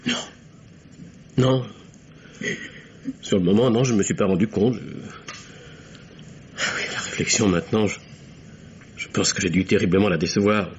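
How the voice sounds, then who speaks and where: A low-voiced young bachelor played by an actor, guilt-ridden and pleading, stumbles earnestly over his words. It is vintage radio drama acting, with a dull, lo-fi sound and steady background noise. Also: lightly acted. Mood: regretful, pleading. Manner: halting, stammering, earnest. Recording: dull lo-fi old radio, noisy.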